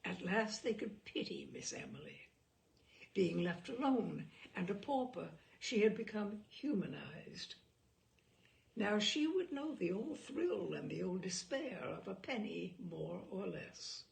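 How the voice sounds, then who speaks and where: Gravelly woman reading literary fiction. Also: mid-range voice, very animated. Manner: measured, dramatic phrasing with hushed asides. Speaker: narrator reading a short story aloud. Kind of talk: expressive literary audiobook narration.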